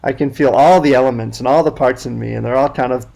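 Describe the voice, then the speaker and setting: A man's voice: medium pitch, fairly even intonation, fast, gravelly, darkish tone, rough recording. A man speaking as a podcast guest and men's coach, musing thoughtfully in casual conversation with spiritual wording.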